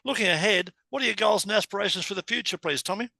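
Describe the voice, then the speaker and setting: A man in his seventies hosts a chatty interview over a video call and keeps speaking straight to his listeners. His voice sits a little high, bright and tense, with a gravelly fry, and the sound is dry. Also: quick pace, no echo. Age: 70s. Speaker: host. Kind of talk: casual interview.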